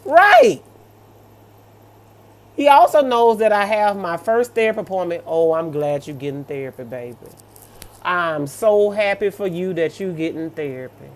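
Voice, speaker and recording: A woman's voice: fairly low pitch, normal speed. A middle-aged woman, reading stories and reacting in a casual, sassy, motherly, colloquial way. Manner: motherly, sassy, colloquial, addressing listener as baby. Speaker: host of a story-reaction show.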